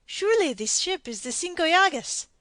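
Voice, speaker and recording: A woman reading an audiobook and voicing a captured Spanish pirate nobleman who cries out in alarm, high and loud. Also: very animated, moderate pace, no room sound, clean studio sound, heavily acted. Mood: bewildered. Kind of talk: audiobook character voice.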